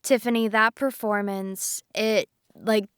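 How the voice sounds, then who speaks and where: Woman, medium pitch, smooth clear voice, earnest casual talk. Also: fairly even intonation, slow pace, a bit bright, no echo, studio quality. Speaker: voice actress on a cast panel. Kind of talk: casual chat.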